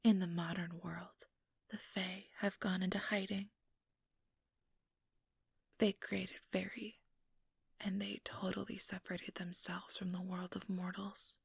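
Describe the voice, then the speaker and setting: A woman plays an ambitious vampire recruiter in a whispered ASMR role-play. Her voice is very husky and breathy, with a creaky edge, captured close and dry. She coaxes softly and deliberately, manipulative yet soothing. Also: fairly low pitch, little pitch movement, no room sound, exaggerated voice. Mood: persuasive, aggrieved. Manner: intimate, coaxing, deliberate. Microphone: close microphone, dry.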